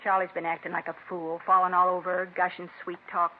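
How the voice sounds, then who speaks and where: A woman playing a sharp-tongued, indignant murder suspect in a 1950s radio crime drama, curt and sarcastic. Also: on the low side, flat delivery, fast, slight background noise, lightly acted. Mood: bitter. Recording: tinny vintage radio recording.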